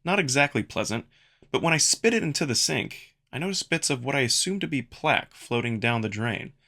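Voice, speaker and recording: A man's voice: medium pitch, animated, a little fast, bright, forceful tone. A man reading scripted first-person narration for a visual novel as a story performer.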